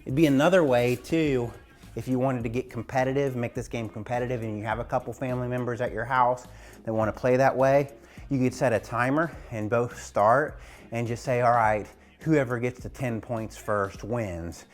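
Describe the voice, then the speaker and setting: A man's voice: medium pitch, fairly even intonation, normal speed. A man coaching a home exercise game as a gym teacher, casual, encouraging and instructive, speaking indoors.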